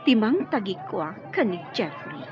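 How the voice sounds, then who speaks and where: A woman playing a possessive, scheming young woman in an Ilocano radio drama, with an Ilocano accent and drawn-out melodramatic delivery. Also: mid-range voice, sing-song, lo-fi sound, over music, natural voice. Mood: bitter resolve.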